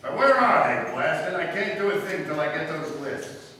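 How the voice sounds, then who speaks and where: Man as earnest sleuth, bright tone, echoey faraway stage mic. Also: a little high, animated, moderate pace. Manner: courteous, earnest. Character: eager young male detective, polite and keen. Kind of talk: live old-time radio drama reading. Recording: echoey hall, mic far off, faint noise.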